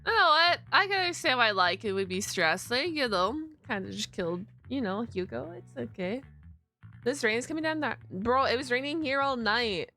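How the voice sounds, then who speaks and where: A woman's voice: fairly high pitch, expressive, normal speed, pushed and bright, soft background music. A woman game streamer giving casual live reaction commentary with informal, chatty asides.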